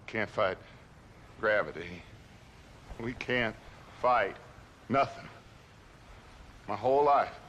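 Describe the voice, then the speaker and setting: An aging American outlaw gang leader in a dramatic video game. He is defiant and fatalistic, speaking slowly and deliberately like a preacher of his own philosophy. The scene has noisy sound behind his voice. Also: fairly low pitch, fairly bright tone. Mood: weary, resigned.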